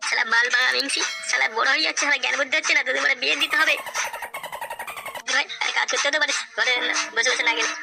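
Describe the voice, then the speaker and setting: A very high, fast-talking cartoon dad, acted out by a woman, angry and foul-mouthed. He rants and berates in crude comic outbursts. Music plays behind him. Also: steady tone, creaky. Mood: angry scolding. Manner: cursing, ranting, berating. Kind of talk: comedy cartoon dialogue.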